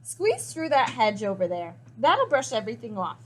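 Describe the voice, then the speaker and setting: Storybook pig, female reader acting: high-pitched, keen, helpful, full of exclaimed advice. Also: sing-song, moderate pace. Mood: eager advice.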